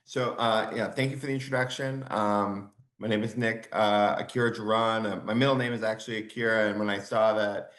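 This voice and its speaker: A man with a low voice gives a talk over a video call, and his words ring around an echoey room. He speaks casually, filling pauses with ums and restarting his sentences. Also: steady tone, fairly bright tone. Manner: casual, lots of fillers and restarts. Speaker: geography professor. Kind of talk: conference presentation.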